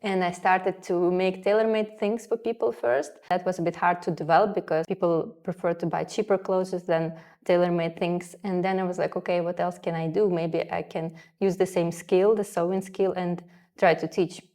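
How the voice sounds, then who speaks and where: A woman's voice: fairly low pitch, little pitch movement, normal speed, darkish tone. A woman from Russia, not a native English speaker, talking casually as an interview guest in her sewing studio and often quoting her own past thoughts. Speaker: guest, sewing school founder.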